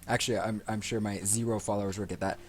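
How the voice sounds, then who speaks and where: A man's voice: on the low side, steady tone, quick pace. A man doing casual, rambling, self-deprecating let's play commentary, often repeating his phrases. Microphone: desk microphone picking up the room. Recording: game music behind, desk mic. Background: game music.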